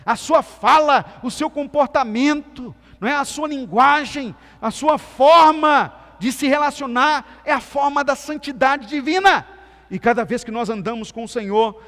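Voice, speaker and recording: A man from Minas Gerais with a softened Minas Gerais accent, preaching a sermon as pastor with emphatic swings between loud and soft delivery. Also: very high-pitched. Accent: Brazilian Portuguese, softened Minas Gerais. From Minas Gerais, Brazil. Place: church sanctuary. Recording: church hall reverb.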